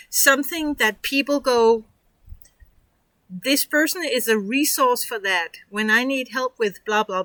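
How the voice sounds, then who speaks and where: A woman's voice: fairly high pitch, pushed and bright, no echo, poor audio. A woman from Denmark with a Danish accent, walking viewers through a tutorial in a chatty, encouraging way with lots of fillers. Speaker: online course instructor. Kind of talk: casual screen-share tutorial.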